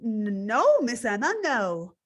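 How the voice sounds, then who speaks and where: A woman playing a nervous, deferential paid companion in old time radio melodrama, halting and pleading. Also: fairly high pitch, sing-song, exaggerated voice. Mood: evasive. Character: nervous, deferential female companion with hidden resentment.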